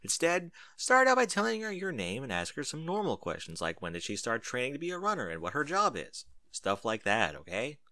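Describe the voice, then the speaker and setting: Man acting an earnest know-it-all cartoon pony, animated. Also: mid-range voice, fairly quick, few pauses, no echo, full character voice. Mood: earnest, advising.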